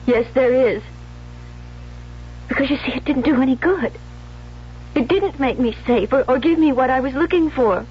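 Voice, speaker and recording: A woman's voice: high-pitched, normal speed, pauses often, lightly acted. An actress playing a tormented, love-starved young woman in an anguished 1970s radio drama style. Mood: anguished remorse. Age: young adult. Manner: anguished, overwrought, dramatic delivery. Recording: muffled, noisy old radio broadcast.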